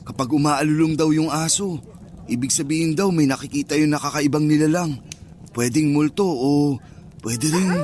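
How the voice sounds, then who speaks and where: A man's voice: fairly high pitch, a little mellow, slight background noise, lightly acted. An actor playing a superstitious, easily spooked young man chatting in slang in a radio drama. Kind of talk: radio drama dialogue, casual banter.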